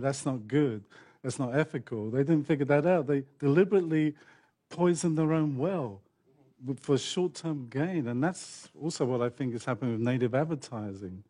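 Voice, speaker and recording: A man's voice: medium pitch, warm tone. A man speaking on a panel in a pointed, critical way. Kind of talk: panel discussion.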